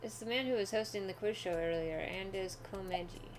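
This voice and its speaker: A woman's voice: mid-range voice, normal speed, a little hiss or hum, low-quality recording. A woman streaming a game, casually reacting and reading game text aloud. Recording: music behind the voice. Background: game music.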